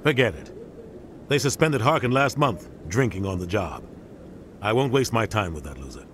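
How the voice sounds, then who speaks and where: A man's voice: on the low side, very animated, moderate pace, fairly bright tone, a little hiss or hum. A man playing a middle-aged navy captain in a video game, grave, embittered and emphatic. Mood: grim. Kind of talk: video game cutscene acting. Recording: music behind game dialogue.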